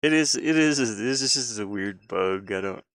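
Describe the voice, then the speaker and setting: A man chatting casually on a live stream as he works on a game, halting and muttering his thoughts aloud. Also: fairly low pitch, expressive, fairly bright tone, no room sound, studio quality. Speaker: hobby game developer streaming his work. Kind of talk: casual live-stream chat while working.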